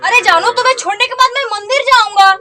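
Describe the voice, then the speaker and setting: A sly husband coos false endearments while plotting to see his wife leave, voiced by a woman. The voice stays high and bright, with a lilt. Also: fast talker.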